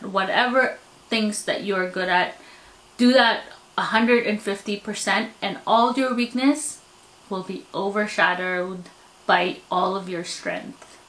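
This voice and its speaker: A woman's voice: mid-range voice, a bit bright. A woman from the Philippines with a Filipino accent, talking candidly and reflectively in a personal vlog, a little self-deprecating. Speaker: vlogger. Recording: echoey room.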